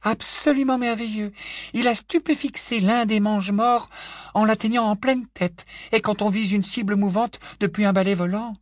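A man voicing a bold young witch who speaks with warmth and emphasis in a storybook reading. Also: high voice, moderate pace, no echo, studio quality, voice pushed up, close to an everyday voice. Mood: eager. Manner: lively, emphatic. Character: bold young witch, spirited auror woman.

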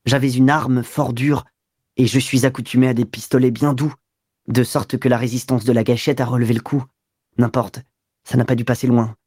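A man's voice: mid-range voice, level tone, moderate pace, a little mellow, breathy edge, no echo, clean studio sound, close to an everyday voice. A man voicing a boastful, ambitious young man in an audiobook, telling his story in a self-assured, dramatic way.

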